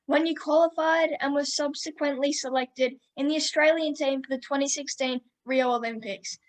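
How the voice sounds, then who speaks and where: Woman podcast host, high voice, upbeat and friendly, casual questions. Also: fairly even intonation, normal speed. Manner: upbeat, friendly, calls guest mate. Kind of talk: interview questions.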